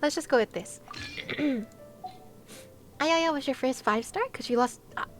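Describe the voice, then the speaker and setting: A woman's voice: high voice, unhurried, faint music behind. A woman chatting casually to viewers on a game livestream, with a playful, giggly, chatty manner. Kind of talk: casual livestream chat while gaming, talking to viewers.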